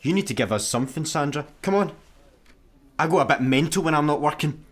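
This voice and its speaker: A man with a Scottish accent playing an impulsive, excitable and awkwardly apologetic layabout in a sci-fi comedy radio play. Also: fairly high pitch, a little fast. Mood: insistent.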